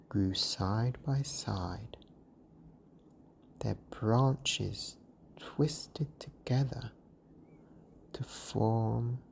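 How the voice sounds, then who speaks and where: A bedtime storyteller with a dark, mellow voice, reading extremely slowly. The delivery is hushed, breathy and gentle, in soothing scripted narration, with faint noise and soft ambience behind it. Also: mid-range voice.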